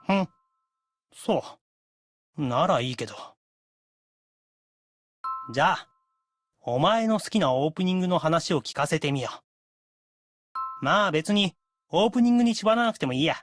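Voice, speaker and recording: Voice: medium pitch, animated, quick pace, long pauses, close to an everyday voice. A performer voicing a cocky, demanding young anime guy in Japanese style, haughty, teasing and a little sulky.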